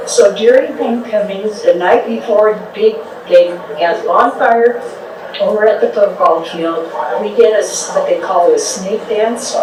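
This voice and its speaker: An older woman from a small town giving an unprepared, nostalgic speech at a public event, speaking haltingly as she ad-libs. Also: mid-range voice. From Trinidad, Colorado. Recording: echoey hall, distant mic, background noise.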